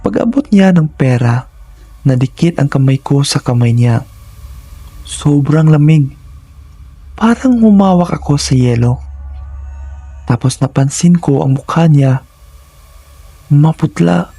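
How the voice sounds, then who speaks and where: A man narrates a horror story with suspense and drama. His delivery is slow and his tone is dark and husky. The voice follows a scripted, first-person storytelling style. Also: fairly high pitch, animated, no echo.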